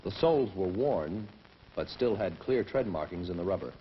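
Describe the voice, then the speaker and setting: A man's voice: deep voice, lively intonation, moderate pace. An actor playing a matter-of-fact male police detective, giving plain, methodical scripted testimony in a 1960s training film. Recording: muffled 1960s film soundtrack, hiss.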